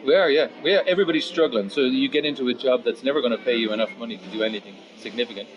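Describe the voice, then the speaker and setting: A man of fifty, a musician chatting casually and philosophically in an interview, blunt and sweary, rambling into animated asides. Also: medium pitch, racing, dull audio, a little hiss or hum. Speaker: musician and guitar teacher being interviewed.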